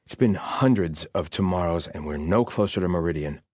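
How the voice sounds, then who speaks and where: A wary soldier of a sun-worshipping army for a video game. His voice is low, the delivery stern and formal, with clipped phrases, recorded very dry and close. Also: normal speed, a little mellow, no echo. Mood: wary, discontented. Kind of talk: scripted video game voice lines.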